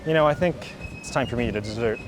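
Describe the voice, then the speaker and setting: A man hosting an on-location vlog outdoors at a historic site, joking and teasing in a playful, quick-witted way. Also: medium pitch, over music. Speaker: host.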